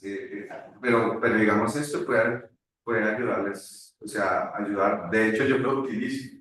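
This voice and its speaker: A man teaching at a university, talking in a halting way with many restarts during an online class discussion. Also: on the low side, steady tone, fairly bright tone. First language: Spanish. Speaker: university lecturer. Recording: echoey room far from mic.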